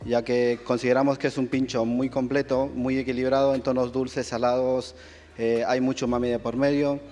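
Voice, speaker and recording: A man with a Peruvian accent, a chef answering questions at a cooking contest in a soft, halting way with many fillers. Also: medium pitch, big-room sound. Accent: Peruvian Spanish. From Peru. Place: auditorium stage.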